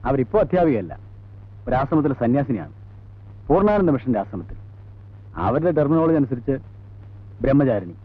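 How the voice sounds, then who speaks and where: An actor playing a forthcoming witness in a 1980s film drama, explaining things in an animated way. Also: male voice, medium pitch, a little fast, pauses often. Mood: explanatory. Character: forthcoming male witness, a friend recalling confidences. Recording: tinny band-limited old film soundtrack.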